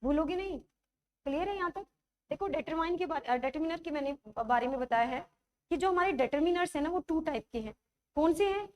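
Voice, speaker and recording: A woman teacher with a high voice leads an online grammar class, her throat sore. She keeps coaxing the class with quick check-ins and slows right down to spell out examples. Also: lively intonation. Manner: coaxing, checks understanding often; writes examples while speaking, slows to dictate them.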